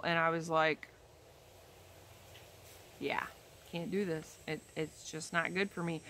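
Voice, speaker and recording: A woman of about fifty talks casually to the camera while seated outdoors in a leafy yard. Her voice sits a little low, and she rambles along with ums and self-corrections. The sound is a simple camera recording, in the open air. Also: fairly bright tone. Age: 50s. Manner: chatty, rambling, frequent ums and restarts. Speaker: vlogger. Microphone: camera in front, head and shoulders framing.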